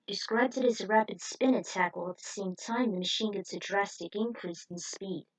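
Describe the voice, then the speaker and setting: A woman's voice: medium pitch, fairly quick, low-quality recording. A woman reading fan fiction aloud as a narrator in an amateur storytelling style.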